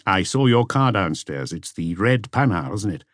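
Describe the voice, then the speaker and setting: A calm, probing detective chief inspector, voiced by a male narrator, speaks low and measured. He questions with polite insistence in a dry audiobook recording. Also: no echo.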